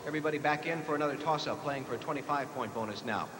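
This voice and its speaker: A man's voice: a little high, very fast. An American man hosting a live quiz show, reading out questions and ruling on answers. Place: large echoey hall, live televised competition. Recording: noisy, echoey 1980s TV broadcast in large hall.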